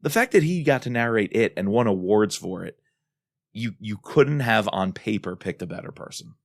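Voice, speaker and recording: A man's voice: lowish voice, expressive, moderate pace, dry recording, clean studio sound. A man co-hosting a podcast in a casual, enthusiastic and emphatic way. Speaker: podcast co-host.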